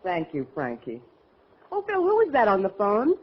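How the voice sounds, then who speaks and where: A patient comedian's wife in a radio comedy. She is composed and gently chiding, with supple intonation, and the old recording sounds muffled. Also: mid-range voice, wildly swooping pitch, moderate pace. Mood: curious. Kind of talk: 1940s radio comedy acting.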